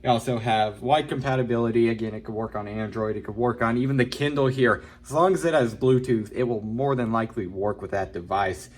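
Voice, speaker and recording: A man giving a casual, informal product review, talking in a halting way and hedging his opinions. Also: mid-range voice. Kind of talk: casual unboxing and review talk.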